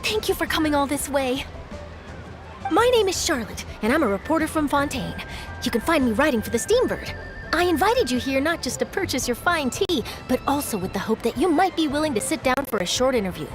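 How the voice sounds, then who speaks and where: A woman's voice: high-pitched, sing-song, a little fast. A woman voicing an upbeat young female reporter who speaks in a friendly, persuasive way, in a game with music. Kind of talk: game voice acting. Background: game background music.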